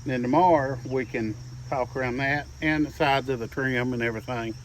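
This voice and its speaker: A man's voice: mid-range voice, a little fast, a little hiss or hum, low-quality recording. A plain-spoken man chatting casually while working on a building project outdoors.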